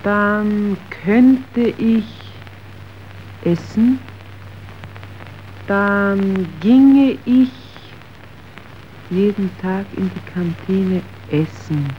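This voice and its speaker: An actress playing a hungry, stubborn poor worker's wife in a radio drama, with drawn-out, deliberate phrasing. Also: female voice, mid-range voice. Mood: longing, insistent. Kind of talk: scripted radio drama acting. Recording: dull, faintly hissy old radio recording.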